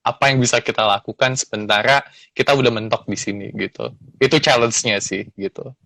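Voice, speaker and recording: A man's voice: mid-range voice, fairly even intonation, brisk, vocal fry, sharp tone. A man in his thirties, a lawyer guest in a casual interview, speaking earnestly and reflectively with many fillers. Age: 30s.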